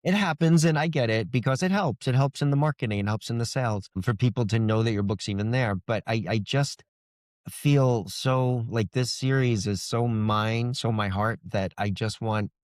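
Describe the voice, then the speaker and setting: A man's voice: mid-range voice, normal speed, dry recording, clean studio sound. A man speaking as an author guest in a casual interview, earnest and reflective. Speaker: guest, indie fantasy author.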